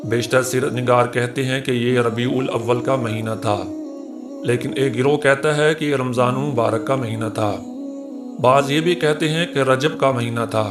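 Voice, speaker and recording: A man's voice: mid-range voice, steady tone, normal speed. A man reading a scripted religious history narration in a formal, reverent manner. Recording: music behind the voice. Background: music.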